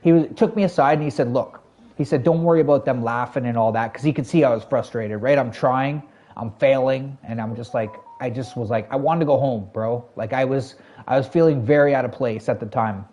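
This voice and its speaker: A man's voice: medium pitch, a little fast, mellow, lo-fi sound. A Canadian man streaming a game, telling a long personal story to his chat in a rambling, casual way with many fillers. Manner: rambling, lots of fillers and self-corrections, talks to chat.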